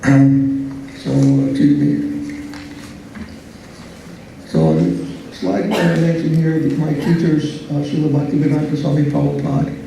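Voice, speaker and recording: A soft-spoken man giving a philosophy lecture in a slow, hesitant way, full of fillers and restarts. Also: a little high, expressive, vocal fry, faint music behind. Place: large echoey lecture hall. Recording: echoey hall, speaker far from mic.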